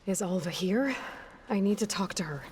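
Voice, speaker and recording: An actress playing a determined, guarded young heroine in a video game, plain-spoken, direct and self-assured. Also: female voice, mid-range voice, animated, moderate pace, darkish tone, slight background noise, close to an everyday voice. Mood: defensive, firm. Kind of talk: video game cutscene acting.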